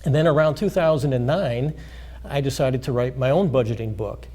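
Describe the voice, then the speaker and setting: Man, interview guest, mellow dark voice, measured explaining, frequent fillers, school studio. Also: mid-range voice. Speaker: financial planner guest. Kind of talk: interview answers.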